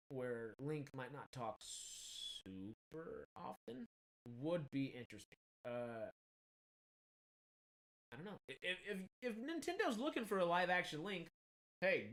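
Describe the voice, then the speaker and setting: A man's voice: on the high side, a bit bright. Casual man with a slight Texan accent. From Texas. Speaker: film news show host. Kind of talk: casual commentary on film news, reading articles aloud.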